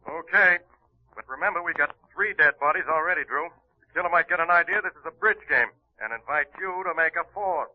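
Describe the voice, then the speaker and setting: An actor playing a brisk, puzzled police sergeant in an old-time radio crime drama. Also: male voice, a little high, natural voice. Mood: brisk, wry. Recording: muffled vintage radio, tinny phone sound.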